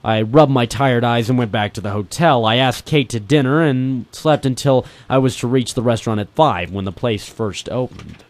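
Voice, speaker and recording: A man's voice: medium pitch, moderate pace, hardly any pauses, dry recording, natural voice. A man playing a persistent, wry ex-Marine private eye in an old-style detective radio drama, hardboiled and informal, narrating and talking in character. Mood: brooding. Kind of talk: 1940s detective radio drama, first-person narration and dialogue.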